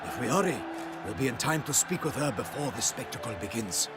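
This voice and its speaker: A man's voice: mid-range voice, expressive, a little fast, over loud music, lightly acted. An actor playing a genteel, chivalrous nobleman in a fantasy video game, speaking formally and politely. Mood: courtly, earnest. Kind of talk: video game dialogue acting.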